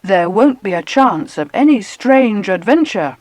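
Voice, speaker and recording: A woman playing a firm, cheerful mother in a children's audiobook drama, with a brisk, instructing manner. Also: medium pitch, big pitch swings, darkish tone, no echo. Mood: cheerful, enthusiastic.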